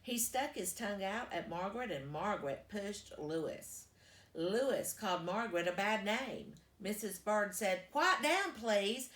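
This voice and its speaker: A woman reading a children's story aloud as a storyteller, with deliberate, emphatic phrasing. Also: medium pitch. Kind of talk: expressive children's storybook narration. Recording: echoey, roomy sound.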